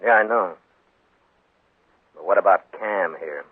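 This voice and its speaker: An American man playing a dry, shrewd, unyielding frontier marshal in a 1950s radio western, speaking in a laconic, wry, deliberate way. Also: low voice, breathy edge. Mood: coolly provoking. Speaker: lead actor in radio western. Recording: muffled, band-limited 1950s radio with echo.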